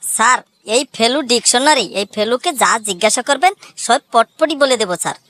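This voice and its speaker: A woman's voice: a little high, lively intonation, brisk, pushed and bright. A woman voicing cheeky, argumentative schoolchildren in a comedy cartoon. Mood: cocky, cheeky. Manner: cocky, argumentative. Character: cheeky schoolchildren answering back to their teacher.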